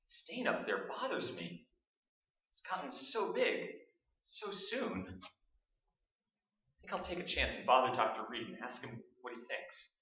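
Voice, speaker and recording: A man's voice: mid-range voice, big pitch swings, measured pace, pauses often, sharp tone, full character voice. A man in a staged radio play, playing a nervous, rambling broadcaster alone in a haunted house, with a jittery, stammering delivery. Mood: anxious, lonely. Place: large hall stage, staged performance. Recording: very echoey stage recording from far off, muffled.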